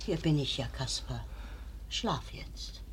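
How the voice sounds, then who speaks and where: An actress playing a motherly woman in a radio play, soft and comforting. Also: extremely low pitch, expressive, takes it slowly, slight background noise, exaggerated voice, low-quality recording. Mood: soothing. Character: motherly woman, tender and alarmed.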